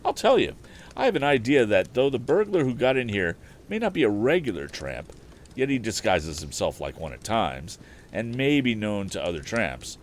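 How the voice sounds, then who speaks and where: A man's voice: fairly low pitch, sing-song, normal speed, a little hiss or hum, voice pushed up. A man voicing an earnest, determined young male inventor in a storybook reading, eager as he explains. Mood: eager, explaining. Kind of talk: acted audiobook dialogue.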